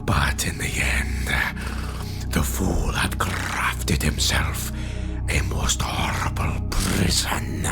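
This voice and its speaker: A deep, hissing beastman sorcerer, speaks in a slow, breathy rasp. He is grave, menacing and full of contempt, as in a dark fantasy audiobook, with music underneath. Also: full character voice. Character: sneering, menacing male beastman shaman, a sorcerer. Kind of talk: fantasy audiobook character acting.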